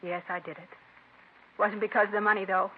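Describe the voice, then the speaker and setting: A woman's voice: mid-range voice, flat delivery, a little fast, breathy edge, lightly acted. A woman playing a bitter, lovelorn murder suspect in a 1950s radio crime drama. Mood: anguished, bitter. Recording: tinny, hissy vintage radio recording.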